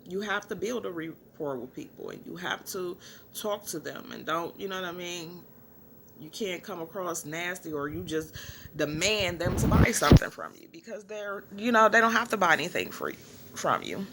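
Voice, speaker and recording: Woman in her forties, gravelly voice, rambling vlog talk, faint background hiss. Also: on the low side, animated, normal speed, fairly bright tone. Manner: rambling, many fillers. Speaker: small business owner. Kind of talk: casual vlog monologue.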